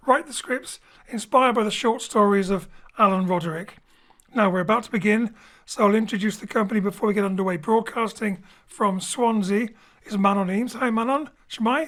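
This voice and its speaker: A man hosting and introducing an audio drama from his home studio, relaxed and lightly joking. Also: high-pitched, normal speed. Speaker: host and director. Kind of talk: friendly show introduction. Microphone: home studio mic.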